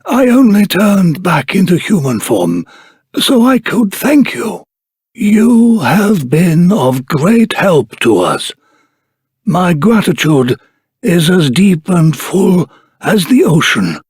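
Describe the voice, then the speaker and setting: Husky, dignified dragon-folk elder. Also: male voice, high-pitched, very animated, darkish tone, dry recording, studio quality, heavily acted. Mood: courteous, grateful. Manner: courteous, formal, earnest, deliberate. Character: dignified elder of a dragon race in human form. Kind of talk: theatrical fantasy video game voice acting.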